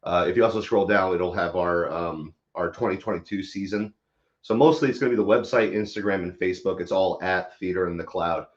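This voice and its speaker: A man's voice: sits low, brisk. A man speaking casually as a theater company guest in an interview, heard through dull live stream audio.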